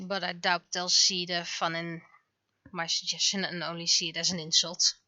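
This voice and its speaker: A woman livestreamer chatting casually and venting in an animated, exasperated way, acting out imagined exchanges as she goes. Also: lowish voice, normal speed, no room sound. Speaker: livestreamer, webcomic artist. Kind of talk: casual livestream chat, ranting.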